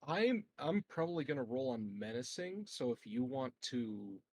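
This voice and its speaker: Lively, swooping mid-pitched man. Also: moderate pace, dry recording. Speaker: tabletop game player. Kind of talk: casual chat.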